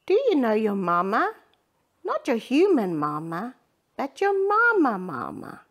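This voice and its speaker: A woman's voice: on the high side, huge pitch swings, a little slow, fairly bright tone, full character voice. A woman doing a shy, sad little bunny. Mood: wistful. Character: shy gentle female bunny, sad and thoughtful.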